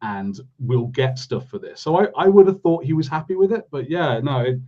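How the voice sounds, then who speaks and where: A man's voice: a little high, animated, normal speed, dull audio. A man co-hosting a podcast, talking through a review casually in a rambling, questioning way. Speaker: podcast co-host.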